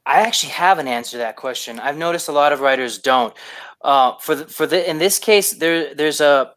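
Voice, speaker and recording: A man being interviewed as a writer, giving thoughtful, explanatory answers in a halting conversational way, repeating words as he forms his thoughts. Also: a little high, a bit bright, no room sound. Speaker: guest, fiction writer.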